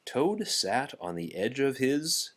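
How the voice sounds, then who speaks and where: A man narrates a children's storybook aloud for a child. His voice is medium in pitch with a husky, breathy edge, and his intonation moves around a lot. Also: big pitch swings, slow pace. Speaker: storybook reader.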